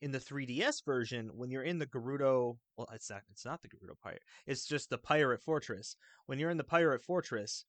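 A man chatting casually about video games in a rambling, halting, enthusiastic way. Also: mid-range voice, a bit bright, dry recording. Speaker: video game podcast panelist.

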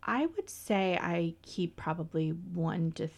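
A woman's voice: on the low side, animated, slow. A woman co-hosting a podcast, chatting casually and explaining things in detail, with small restarts. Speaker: podcast co-host. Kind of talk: casual chat.

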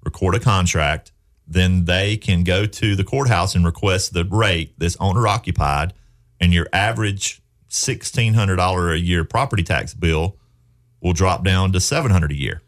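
Low folksy guy on a radio show pitching real estate, chatty and persuasive, studio mic. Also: moderate pace. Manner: folksy, persuasive, asks leading questions. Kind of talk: casual radio talk.

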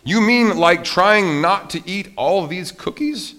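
A man's voice: high voice, lively intonation, takes it slowly, sharp tone, voice pushed up, heavily acted. A man voicing an earnest, doubting male toad from a children's storybook, in a questioning, protesting manner. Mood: doubtful.

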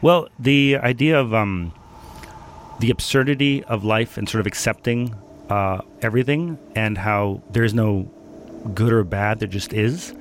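A man from Denmark, a guest in an interview, answering thoughtfully with many pauses and fillers. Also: lowish voice, a little hiss or hum.

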